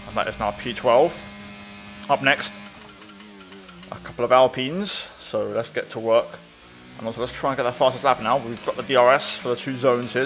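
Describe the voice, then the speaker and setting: A man's voice: medium pitch, a bit bright. A man giving casual, running commentary as he plays a racing game. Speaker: gaming video creator.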